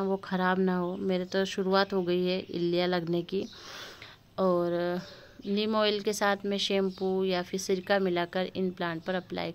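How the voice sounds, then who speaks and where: Chatty woman touring her terrace garden. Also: medium pitch. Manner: chatty, unhurried, with many fillers, addresses viewers as friends. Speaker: gardening vlogger. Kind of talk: casual garden tour narration. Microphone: phone camera held while filming.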